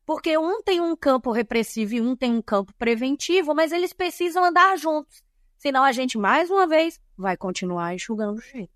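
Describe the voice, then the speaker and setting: Bahian woman talking on a podcast, high voice, emphatic and teachy, dead dry mic. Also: expressive, moderate pace, dry recording. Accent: Bahian Brazilian Portuguese. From Bahia, Brazil. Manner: emphatic and didactic, lists points. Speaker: guest, diversity and compliance specialist. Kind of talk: podcast interview, explanatory.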